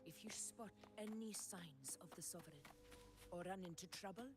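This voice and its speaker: A woman's voice: on the low side, normal speed, over loud music, natural voice. A woman playing a proud, resolute female warrior leader in a dramatic video game, speaking in a stately, declamatory way. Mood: grave, determined.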